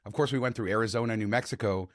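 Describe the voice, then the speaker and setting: Slightly hoarse man hosting a podcast. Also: fairly low pitch, very fast, no room sound, clean studio sound. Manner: chatty, enthusiastic storyteller. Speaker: podcast host. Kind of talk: casual travel podcast chat.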